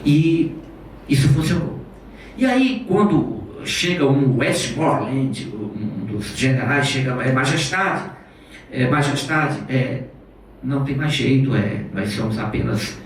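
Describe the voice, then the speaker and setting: Male lecturer, rambling animated storyteller, distant mic, faint room hiss. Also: mid-range voice, slow pace. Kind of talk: public lecture. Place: auditorium. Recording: echoey hall recorded from far off.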